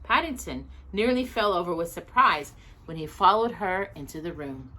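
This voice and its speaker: A woman reading a children's storybook aloud as an expressive narrator. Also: lowish voice, moderate pace, poor audio.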